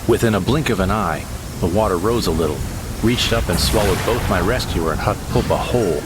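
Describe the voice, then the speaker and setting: A man voicing a young sidekick who narrates his space adventure in a steady, descriptive first-person storybook style. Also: sits low, background noise. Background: dramatic score.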